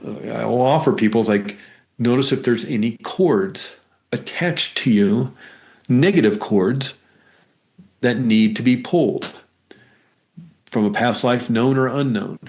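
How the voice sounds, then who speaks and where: A man speaking as an interview guest, a hypnotherapist explaining his work in a rambling, conversational way with repeated words and halting phrases. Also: medium pitch.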